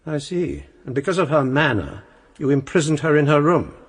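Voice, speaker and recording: Husky, animated, probing judge. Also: male voice, fairly high pitch, moderate pace. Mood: sceptical, probing. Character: sceptical, probing judge questioning the accused. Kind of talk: period radio drama acting.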